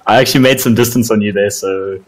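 A man's voice: fairly low pitch, steady tone, fast. A young man chatting casually with friends while playing a video game. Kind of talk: casual gaming banter.

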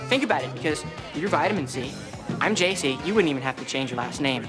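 A man's voice: on the high side, expressive, quick pace, over music, natural voice. A young man playing an awkward, goofy teenage boy who stammers and fumbles when nervous. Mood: starstruck. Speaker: teen sitcom character. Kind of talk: sitcom acting.

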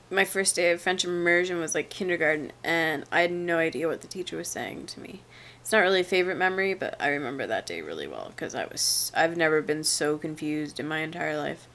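A woman's voice: on the low side, gravelly, slight background noise. A young woman with a stuffy, congested nose from a cold, chatting in a laid-back way for her vlog while sitting on a sofa at home, recorded on a camera held close. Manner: chatty and laid-back, trails off quietly at the ends of sentences. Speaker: vlogger. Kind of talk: casual vlog answering tag questions. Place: living room, sitting on a sofa, indoors. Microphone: webcam or handheld camera at arm's length.

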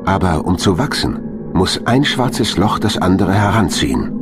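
A man with a low voice narrates a science documentary from a script. His pitch moves up and down in a lively way. Loud music plays behind him throughout. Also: normal speed. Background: loud background music.

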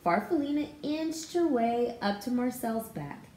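A woman's voice: on the high side, lively intonation, unhurried, dark tone. An American woman in her thirties, a pre-K teacher, reading a picture book aloud and explaining it expressively to children. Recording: echoey room with faint background noise.

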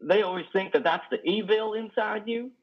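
A man acts out a lonely, wounded trucker in a stage drama, higher-voiced and husky. He talks in halting, folksy, simple sentences, weighed down by guilt, over a muffled video call. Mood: troubled, intense. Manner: halting, stammering, folksy ungrammatical speech. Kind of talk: dramatic play reading. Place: indoor room on a video call. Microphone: headset.

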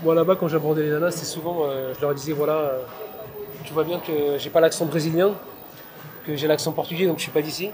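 A man's voice: on the high side, steady tone, fast talker. A man in his thirties talking casually about street flirting, hesitant and full of fillers and restarts, recorded outdoors on a city street. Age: 30s. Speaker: street pickup learner. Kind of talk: street approach and casual debrief. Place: busy city street outdoors, traffic and passersby. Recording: outdoor street crowd behind.

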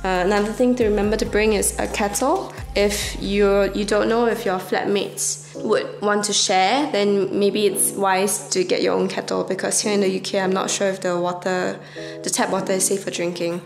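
A young woman from Singapore, a university student, chatting casually as she gives a walking tour of her student flat, filmed on a handheld camera at arm's length. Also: medium pitch, normal speed, gravelly, faint music behind. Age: young adult. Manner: chatty, explains as she walks around pointing things out. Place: student flat kitchen and bedroom, indoors. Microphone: handheld wide-angle camera at arm's length.

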